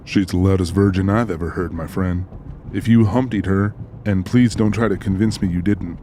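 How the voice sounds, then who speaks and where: A man's voice: low voice, steady tone, moderate pace, dark tone, slight background noise, close to an everyday voice. An actor playing a dry, wry, plainspoken country man in an audiobook drama. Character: Joe, dry, easygoing country man, anxious but decent.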